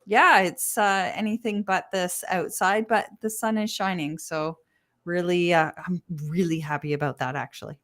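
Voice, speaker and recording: A woman from Canada speaking as a panel guest on a video call, thoughtful and measured, with fillers. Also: on the low side, fairly even intonation, a bit bright. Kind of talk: panel discussion.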